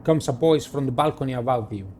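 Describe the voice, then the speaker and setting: A man hosting a game playthrough, reading the story aloud in a dramatic, deliberate way and adding his own commentary. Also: medium pitch, a little mellow, slight background noise. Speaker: gaming video host. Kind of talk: reading visual novel narration aloud with personal commentary.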